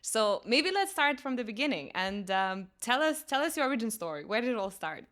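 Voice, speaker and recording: A woman hosting an interview, encouraging and quick to laugh, with hesitant, searching phrasing. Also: fairly high pitch, sing-song, a bit bright. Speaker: podcast host. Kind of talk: casual interview conversation.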